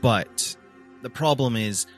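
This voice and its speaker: A man playing a tabletop game, talking hesitantly and thinking aloud in halting phrases. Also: medium pitch, faint music behind. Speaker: player. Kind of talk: casual tabletop roleplay.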